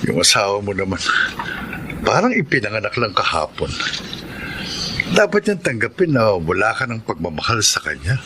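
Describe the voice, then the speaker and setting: A man's voice: lowish voice, wildly swooping pitch, moderate pace, long pauses. A man acting an earnest grown man in a family radio melodrama, with a Filipino accent. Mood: casual, dismissive. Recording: noisy sound effects and ambience behind.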